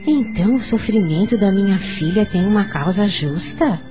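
Brazilian radio drama role: troubled mother of a sick child played by a woman, pleading, highly animated pitch, music underneath. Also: normal speed, lightly acted. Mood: anguished questioning. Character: anguished, questioning mother of a sick daughter. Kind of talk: radio soap opera acting.

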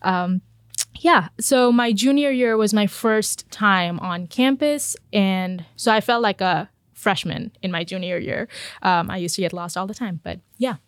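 A woman's voice: mid-range voice, a little slow, no room sound, studio quality. A woman in her twenties from India, a student guest chatting casually on a podcast in an upbeat way with fillers and restarts.

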